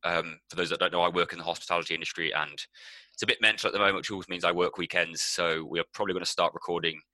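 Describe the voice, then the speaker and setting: A man's voice: low voice, steady tone, very fast, sharp tone, dry recording. A man co-hosting a casual music podcast, rambling and swearing with lots of ums. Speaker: podcast co-host.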